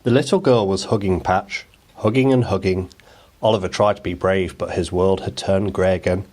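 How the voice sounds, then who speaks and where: Low-pitched man narrating a bedtime story. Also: fairly even intonation, normal speed, a little mellow.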